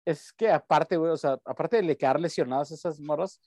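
A man's voice: fairly high pitch, brisk, mellow, dry recording. A young man with a Mexican accent, co-hosting a podcast in slangy, rambling casual chat. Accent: Mexican Spanish. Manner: slangy, rambling, breaks into bits of song. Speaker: podcast co-host.

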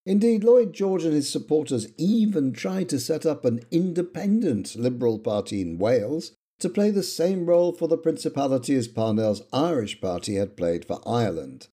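A man hosting a history podcast, reading a scripted narration with quoted passages in a measured way. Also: fairly high pitch, slightly rough. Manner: measured, lively intonation, reading quotations.

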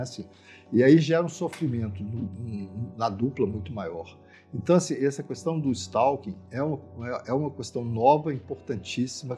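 A man's voice: mid-range voice, warm tone. A man with a Brazilian accent from Minas Gerais, explaining social issues informally in a reflective, emphatic way, often adding tag questions.

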